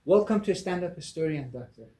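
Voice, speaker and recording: A man from Iran hosting an interview show, introducing his guest slowly and laughing easily. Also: fairly high pitch, lively intonation, mellow, echoey room. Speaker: podcast host. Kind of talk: interview chat.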